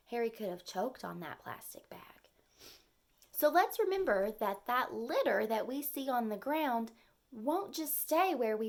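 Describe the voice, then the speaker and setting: A woman's voice: fairly high pitch, darkish tone. A woman reading and explaining a children's story expressively, in simple phrases aimed at young listeners.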